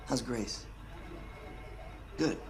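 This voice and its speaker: An actor with an American accent playing a jittery, foul-mouthed conspiracy-theorist ex-lawyer in a video game, rambling excitably. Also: male voice, medium pitch, drawn-out words. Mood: sheepish, friendly. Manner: rambling, excitable, swearing, emphatic. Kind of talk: video game cutscene acting.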